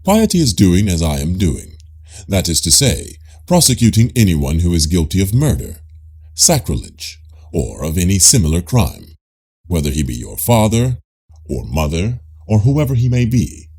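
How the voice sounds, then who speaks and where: A man voicing a self-assured, pious Greek man in an audiobook dialogue, with a pompous, declaiming manner and a gravelly, husky voice. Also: deep voice, huge pitch swings, normal speed, darkish tone, exaggerated voice. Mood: self-assured, insistent. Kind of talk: dramatised audiobook reading of philosophical dialogue.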